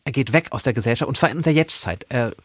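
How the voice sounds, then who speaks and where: A man with an average-pitched voice talks casually as the host of a book podcast. He sounds enthusiastic and playful, recorded close in a very dry space. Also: quick pace, slightly rough, no room sound.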